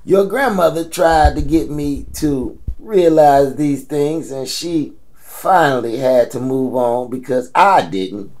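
A man's voice: fairly high pitch, slow, darkish tone. A man playing a blunt, teasing old American grandfather in an audio drama, salty and slangy as he scolds. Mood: advising.

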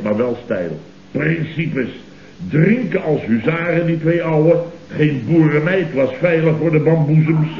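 An actor playing a blustering, self-righteous conservative boss in a Dutch radio comedy, speaking in repetitive, exclamatory bursts with a Dutch accent. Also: male voice, fairly high pitch. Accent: Dutch, from the Netherlands. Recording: dull, noisy, roomy old radio recording.

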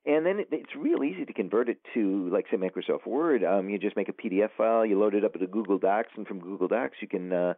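A man's voice: lowish voice, animated, fast, few pauses, no room sound. A man who is an author, chatting casually in an interview, rambling with many fillers and restarts. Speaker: author guest. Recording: dry, tinny phone line.

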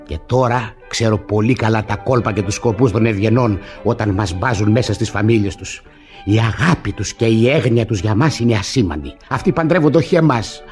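A man's voice: on the low side, close to an everyday voice. An actor playing a bitter, suspicious wealthy peasant husband in a radio comedy, exclaiming and repeating his words. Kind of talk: Greek radio theatre acting of classic comedy.

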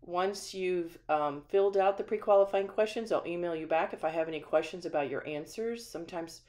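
A woman's voice: fairly low pitch, a little fast, darkish tone. A woman managing a manufactured home community, giving a friendly, chatty walkthrough of a renovated house with frequent ums. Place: empty small house, indoors, then voice-over.

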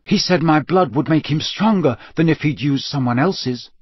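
A man reads as a teenage wizard boy in a storybook, earnest and shaken. The voice sits somewhat high, husky and halting. The sound is a little dull. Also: no echo. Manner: halting, strained.

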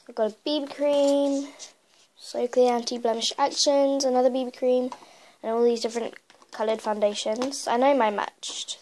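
Hoarse young woman with a cold. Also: on the high side, slight background noise. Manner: chatty, rambling with many fillers. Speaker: beauty vlogger. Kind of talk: casual makeup collection tour. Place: bedroom at home, indoors. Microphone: phone camera held in hand.

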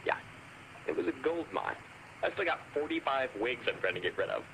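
Lively, bright male bargain-hunter caller. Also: medium pitch, brisk, creaky, slight background noise, low-quality recording. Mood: chatty, pleased. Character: chatty, cheerful male bargain hunter calling a radio show. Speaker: radio caller. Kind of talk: radio drama acting in a video game.

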